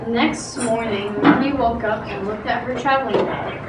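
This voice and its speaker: A woman's voice: a little high, fairly even intonation, moderate pace. A female narrator reading a story in an amateur readers theatre performance. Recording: very noisy echoey room, distant mic.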